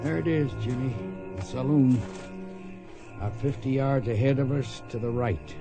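An actor playing a seasoned, loyal gunfighter mentor with folksy, low-key Western talk in a radio drama. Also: male voice, normal speed, music in the background, close to an everyday voice. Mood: fond, hushed.

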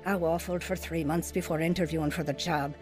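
A woman's voice: sits low, fairly even intonation, fairly quick, music in the background, lightly acted. A woman playing an earnest, devout mother telling her life story in a sincere radio drama style. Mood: reflective narration. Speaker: lead actor and narrator.

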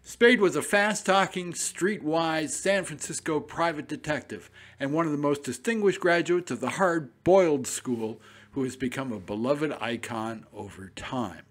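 A man's voice: on the high side, unhurried, fairly bright tone. A man hosting a radio program, reading a scripted documentary-style introduction. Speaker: program host.

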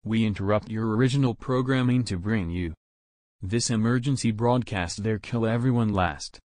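A man's voice: on the low side, normal speed, darkish tone. A man reading a scripted mock emergency broadcast as its announcer.

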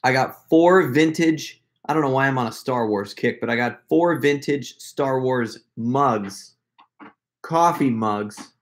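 A man in his forties with an American accent, chatting casually to a camera in a halting, half-muttering way. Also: medium pitch. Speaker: prank caller and video host. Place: home room with wood shelves, indoors. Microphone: desk camera at arm's length.

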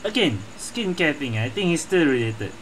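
Very low-voiced woman streaming a game. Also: big pitch swings, moderate pace, slight background noise, rough recording. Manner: musing, thinking aloud. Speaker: gaming streamer. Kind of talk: casual play-along commentary. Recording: game music behind the voice.

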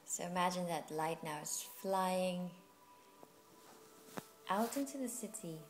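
A woman leading a guided meditation as a yoga teacher, speaking in a soothing, unhurried way with long pauses. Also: lowish voice. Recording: music playing behind the voice. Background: music.

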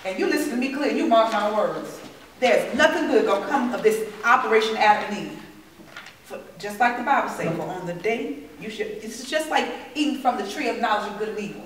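A woman's voice: a little high, lively intonation, brisk, distant mic, a little hiss or hum, lightly acted. An actress playing a stern, preachy woman giving emphatic warnings in a stage rehearsal, recorded from afar in an echoey room. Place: large bare rehearsal room.

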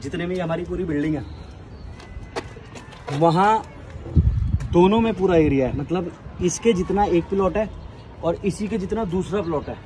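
A man's voice: high-pitched, moderate pace, pauses often, dark tone. A young man talking casually to camera as a fitness vlogger, explaining things directly to viewers. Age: young adult. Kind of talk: casual vlog talk to camera. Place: unfinished building, rooftop and open balcony. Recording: clear background noise, outdoor ambience, traffic.

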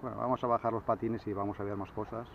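A man explaining kayak sailing in a relaxed, casual way, with fillers, speaking from a kayak at sea into an action camera. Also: lowish voice, quick pace, gravelly. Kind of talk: casual how-to explanation on the water. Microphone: action camera mounted on the kayak. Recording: dull lo-fi camera audio, faint wind.

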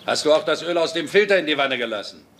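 An actor in a German sitcom dub playing an easygoing working-class dad, casually coaxing and jovially giving instructions. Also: male voice, a little high, animated, fast. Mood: instructing. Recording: loud background noise, clicks.

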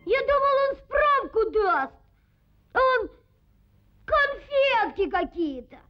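A woman voicing a naive, excitable schoolboy in an animated cartoon, with dramatic exclamations. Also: extremely high pitch, takes it slowly, exaggerated voice. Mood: sulky, doubtful. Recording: muffled old film soundtrack with music.

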